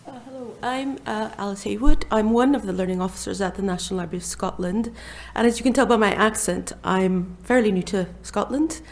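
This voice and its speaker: A woman in her forties speaking as a panelist, earnest and measured, with frequent hesitations. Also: medium pitch, slight background noise. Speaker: library learning officer on a panel. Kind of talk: live panel discussion talk.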